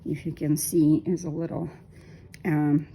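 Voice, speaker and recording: Low, gravelly-voiced woman in her fifties. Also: little pitch movement, warm tone, a little hiss or hum, poor audio. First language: Russian. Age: 50s. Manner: unhurried, hesitant with many ums. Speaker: knitting vlogger. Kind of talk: casual talk while knitting.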